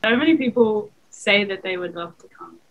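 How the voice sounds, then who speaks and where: A woman's voice: medium pitch, animated, moderate pace, a bit bright, lo-fi sound. A young Australian woman, a college basketball player, talking casually over a video call with many fillers. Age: young adult. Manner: casual, chatty, lots of fillers. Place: small room, indoors.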